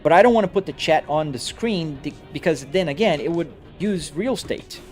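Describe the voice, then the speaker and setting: A man from Brazil, his accent a blend of Brazilian and American, with a slightly high voice, casually answering a live chat from a home driving rig. He talks loosely and restarts his sentences as comments roll in. Soft music hums behind him. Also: expressive, a little fast. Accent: Brazilian Portuguese mixed with American English. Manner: chatty, answers viewer comments on the fly, frequent restarts. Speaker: live streamer.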